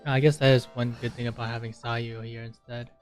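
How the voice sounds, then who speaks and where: Male gamer, mid-pitched, casual and rambling as he thinks aloud over game music. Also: level tone, a little fast. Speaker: gamer narrating gameplay. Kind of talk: casual commentary while playing a game.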